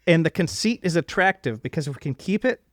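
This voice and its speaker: A man's voice: on the high side, expressive, fast talker, no room sound, clean studio sound. A man hosting a casual interview, reflecting at length and enthusiastically affirming his guest. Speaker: podcast host interviewing a guest.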